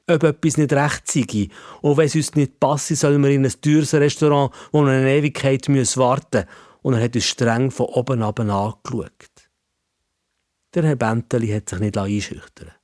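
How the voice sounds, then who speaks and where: Male narrator for a kids' story, Swiss accent, mid-range pitch, scripted delivery in a very dry recording. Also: fairly even intonation, moderate pace, darkish tone, no echo.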